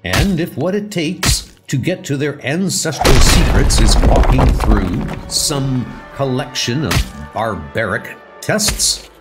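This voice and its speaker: A man's voice: medium pitch, very animated, takes it slowly, hardly any pauses. A man playing a steadfast, wry, confident superhero in a comic book audio drama. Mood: determined. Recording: music under the voice.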